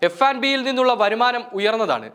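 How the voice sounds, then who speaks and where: A man's voice: very high-pitched, sing-song, quick pace, fairly bright tone. A man delivering a scripted news report as narrator.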